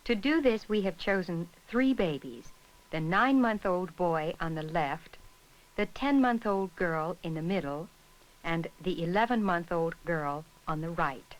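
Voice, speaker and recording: A woman with a slightly low voice narrates an educational film. She reads from a script in a measured, instructional manner, setting out each point in order. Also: low-quality recording.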